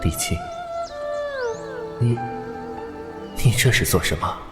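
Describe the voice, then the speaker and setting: An actor playing a lovesick young immortal prince, tender and wistful, in a Chinese audio drama. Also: male voice, mid-range voice, wildly swooping pitch, slow pace. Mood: pained. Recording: echoey, with loud background music.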